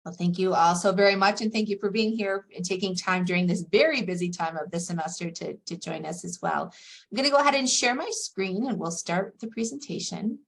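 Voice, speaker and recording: A middle-aged woman with a medium-pitched voice presents to a webinar. She sounds enthusiastic and welcoming, like an administrator introducing a college. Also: fairly quick, gravelly. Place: video call.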